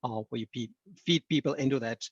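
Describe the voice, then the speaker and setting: A man's voice: medium pitch, a little fast, slightly rough, no echo, dull audio. A man answering questions on a webinar panel, hesitant, with many ums and restarts.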